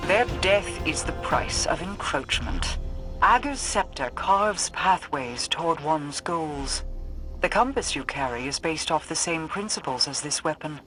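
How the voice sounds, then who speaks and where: A woman voicing a calm, commanding alien queen in a video game cutscene, telling a solemn story in a measured way. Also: sits low, over music, poor audio. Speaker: queen character. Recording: game audio with loud score behind.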